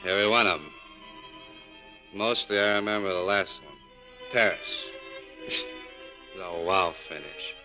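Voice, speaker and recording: An actor playing a heartbroken, cynical American bar owner with sardonic, slangy delivery in a 1940s radio drama. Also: male voice, sits low, measured pace, pauses often, bright, forceful tone, rough recording. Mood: bitter, wistful. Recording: vintage radio broadcast with music.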